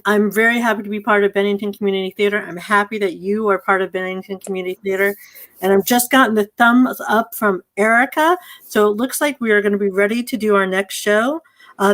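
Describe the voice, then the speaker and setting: A woman's voice: mid-range voice, a bit bright. A woman chatting casually and earnestly as the host of a community theater event, effusive in manner. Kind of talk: casual, unscripted hosting chat.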